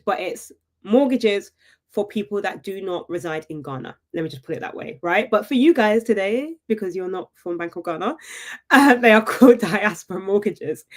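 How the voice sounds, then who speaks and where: A woman hosting a live property webinar, chatty and upbeat, often checking in with her viewers with a quick "right?". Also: mid-range voice. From United Kingdom. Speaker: property investment webinar host.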